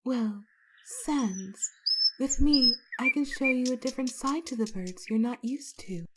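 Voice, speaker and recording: A woman playing a kind, naive, motherly goat-woman goddess in a comic dub, speaking gently and formally. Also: high voice, unhurried, background noise. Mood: gentle, eager. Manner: gentle, formal, careful phrasing. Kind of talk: fan comic dub voice acting.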